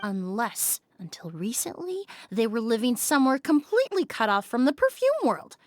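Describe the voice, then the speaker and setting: An actress voicing a composed female perfumer in a game, speaking in a calm, measured, precise way. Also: fairly high pitch, no echo. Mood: deducing. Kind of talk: game voice acting. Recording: dry studio recording.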